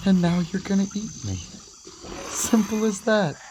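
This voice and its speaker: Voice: mid-range voice, unhurried, noisy background, natural voice. A performer playing a young man in an audio drama who rambles wryly and laughs breathlessly as he faces a monster. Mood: resigned.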